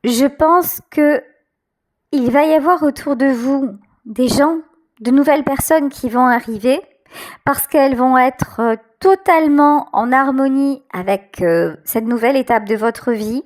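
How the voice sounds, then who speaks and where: High-pitched woman, slow tarot reading. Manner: hesitant, searching for words, pausing often. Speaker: tarot reader, channel host. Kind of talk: intuitive tarot card reading addressed to viewers.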